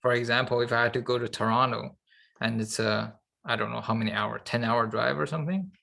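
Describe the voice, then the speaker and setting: Gravelly-voiced man chatting casually. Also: mid-range voice, fairly quick, bright, forceful tone. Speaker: podcast co-host. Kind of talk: casual unscripted podcast chat.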